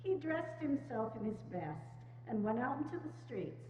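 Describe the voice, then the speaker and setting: A woman's voice: fairly high pitch, big pitch swings, moderate pace, noisy background. A woman narrator giving a scripted dramatic reading of a story at a community performance. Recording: muffled, echoey church hall, humming.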